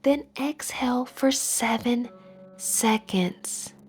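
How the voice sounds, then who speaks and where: Slow-speaking woman guiding meditation over faint music. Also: a little high. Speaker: guided meditation instructor. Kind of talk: scripted guided meditation. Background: soft background music.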